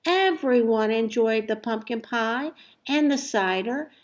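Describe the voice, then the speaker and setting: A woman reading a children's story aloud expressively, with deliberate, emphatic phrasing and dramatic pauses. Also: on the high side. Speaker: storybook reader.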